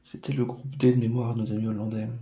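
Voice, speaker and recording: A man's voice: fairly low pitch, little pitch movement, fast talker, vocal fry, mellow, reverberant, slight background noise. A man streaming a retro football game, chatting casually with playful, mock-dramatic asides. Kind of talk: casual let's-play chat.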